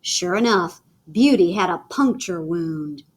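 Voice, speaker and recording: Woman, children's storyteller, unhurried pace, husky edge, theatrical delivery. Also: fairly low pitch. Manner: animated, theatrical, dramatic pauses. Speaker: read-aloud storyteller. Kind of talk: expressive children's picture book read-aloud.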